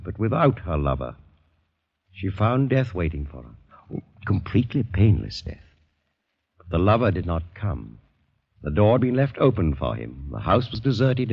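An actor playing a suave, politely menacing older doctor in 1940s radio drama, speaking in measured, insinuating phrases. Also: male voice, fairly low pitch, breathy edge, full character voice. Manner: courteous, measured, insinuating storytelling. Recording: dull 1940s radio, long reverb.

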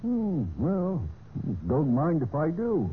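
High-pitched grumbling folksy caretaker, tinny. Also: male voice, sing-song, takes it slowly. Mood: suspicious, grudging. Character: resentful, folksy male caretaker fond of drink. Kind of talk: 1940s-50s radio character acting. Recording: tinny, faintly noisy vintage radio recording.

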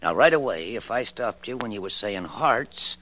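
An actor with an American accent playing a pushy, menacing small-time card hustler in a 1950s radio crime drama, talking tough and slangy. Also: male voice, on the low side, animated, normal speed, fairly bright tone, slight background noise. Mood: scheming, persuasive.

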